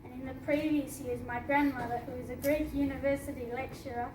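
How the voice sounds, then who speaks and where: A woman's voice: high voice, little pitch movement, a little fast. A teenage girl from Australia, reading her personal essay aloud at a book launch, earnest and laughing now and then. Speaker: student reading her story. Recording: echoey hall from far off, noisy.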